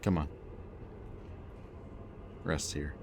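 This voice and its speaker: A man speaking a gentle, hesitant roleplay monologue to the listener as a soft-spoken villain. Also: extremely low pitch, steady tone, drawn-out words, background noise.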